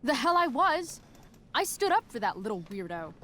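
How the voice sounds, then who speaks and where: A woman's voice: a little high, big pitch swings, moderate pace, a bit bright. A woman playing a rebellious teenage schoolgirl in a video game, with a blunt, slangy, sarcastic way of talking. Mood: defiant, indignant. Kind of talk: naturalistic video game acting.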